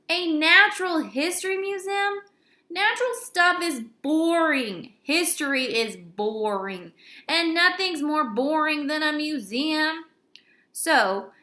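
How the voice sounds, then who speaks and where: Woman reading as a grumbling, bright-voiced schoolboy, echoey. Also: high-pitched, heavily acted. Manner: complaining, emphatic. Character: A J, grumbling young schoolboy who hates school. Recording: roomy, echoey indoor recording.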